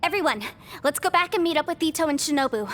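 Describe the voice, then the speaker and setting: A woman's voice: high voice, expressive, quick pace, fairly bright tone, slight background noise. A woman playing an earnest, determined young half-immortal heroine in a video game, explaining and encouraging. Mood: thoughtful, explaining. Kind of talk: video game story acting.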